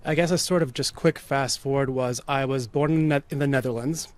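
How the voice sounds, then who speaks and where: A man who grew up in the United States, a writer being interviewed, speaking in a measured way with dry, wry asides. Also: mid-range voice, fairly even intonation. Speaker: guest, writer and performer. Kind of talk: casual interview chat.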